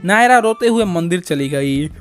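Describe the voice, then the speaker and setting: A woman's voice: lowish voice, expressive, moderate pace, soft background music. A woman reading scripted narration for a cartoon story.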